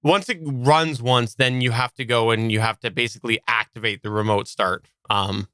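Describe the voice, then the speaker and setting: A man's voice: medium pitch, steady tone, normal speed, bright, forceful tone, smooth, no echo. A man hosting a podcast, talking in casual, joking, conversational banter. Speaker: podcast host.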